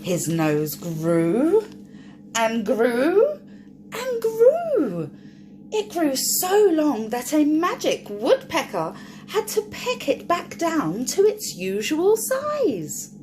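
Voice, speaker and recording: A woman's voice: high voice, huge pitch swings, very slow, a little mellow, slight background noise. A woman reading a picture book aloud expressively for young children, drawing out key words and speaking to the listeners. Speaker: children's storyteller.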